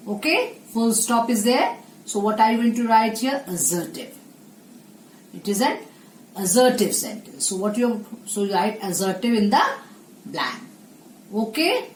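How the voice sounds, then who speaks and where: A patient woman teacher speaks slowly and deliberately, her fairly high voice repeating each answer. She is recorded through a desk webcam in a small, echoey room with a faint hiss behind her. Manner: slow, patient, repeats answers for young pupils. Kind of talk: online class lesson.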